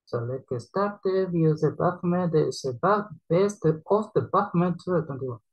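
Husky Japanese-accented man, halting English. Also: sits high, lively intonation, poor audio. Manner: halting broken English with frequent restarts. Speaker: reaction streamer. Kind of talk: casual reaction commentary. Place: small bedroom.

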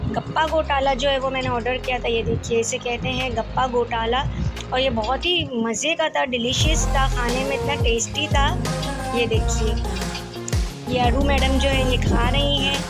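High-pitched karachi urdu lady chatting thru her mall vlog voice-over, music under it. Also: steady tone, normal speed. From Pakistan. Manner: chatty, leans on filler "jo hai" constantly. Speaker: vlogger. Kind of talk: voice-over narration of a mall outing.

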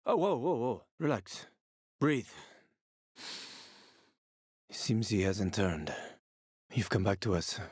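A man's voice: on the low side, sing-song, takes it slowly, long pauses, a little mellow, breathy edge, no room sound, studio quality, heavily acted. An actor playing a world-weary, streetwise male survivor in a video game, with a gritty, sardonic, foul-mouthed manner and dramatic delivery. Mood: guarded, reassuring.

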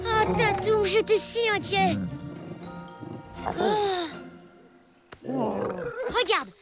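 A woman voicing a cheerful, brave young boy in a cartoon, talking in a lively, playful way. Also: extremely high pitch, drawn-out words, heavily acted. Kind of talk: cartoon dubbing. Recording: music playing behind.

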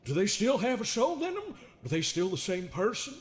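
A man's voice: high voice, huge pitch swings, moderate pace. A man voicing an unhinged, sardonic therapist with folksy menace, theatrical and mocking, in an improvised comedy dub of a horror game. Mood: mock philosophical.

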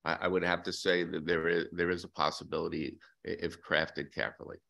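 Gravelly deep-voiced older man testifying. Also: flat delivery, brisk, bright, forceful tone. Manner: hesitant, many fillers and restarts, defensive. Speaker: testifying witness for a business group. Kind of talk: hearing testimony. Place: legislative hearing room. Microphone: desk microphone.

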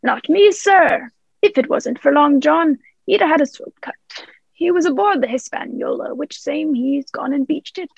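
A woman's voice: high-pitched, animated, moderate pace, creaky, no echo, dull audio. A young woman playing a sly, commanding male pirate in a radio play, speaking in salty seafaring dialect. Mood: wheedling. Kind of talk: stage-style radio play acting.

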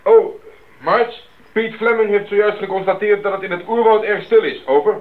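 An actor playing a practical young missionary and radio operator in a radio drama. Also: male voice, high-pitched, normal speed, close to an everyday voice. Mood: focused, businesslike. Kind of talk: 1980s Dutch radio play acting. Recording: dull old radio drama, background noise.

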